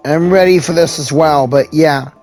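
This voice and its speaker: Animated, high-voiced gaming streamer guy. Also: moderate pace, faint music behind. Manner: animated, joking. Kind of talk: casual reactive playthrough, reading dialogue aloud.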